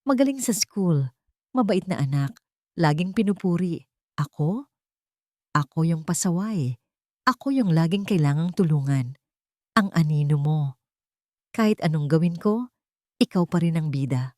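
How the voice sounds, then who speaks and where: Woman doing a resentful little sister in an audio love drama, halting confession, lowish voice, dry sound. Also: animated, darkish tone, dry recording. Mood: bitter, resentful. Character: hurt, guilt-ridden younger sister, resentful and pleading.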